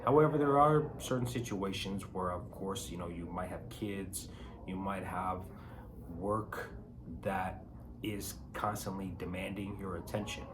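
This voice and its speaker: A hoarse man with a raspy voice from a cold, chatting casually with his viewers and giving advice. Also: low-pitched, measured pace, background noise. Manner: chatty, emphatic, frequent fillers and rhetorical questions. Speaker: bitcoin video host. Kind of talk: casual talking-to-camera advice.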